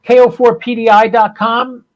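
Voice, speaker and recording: A man's voice: very high voice, little pitch movement, moderate pace. An American man hosting a casual, unscripted livestream, talking in a folksy, rambling, informal way. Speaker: podcast and livestream host.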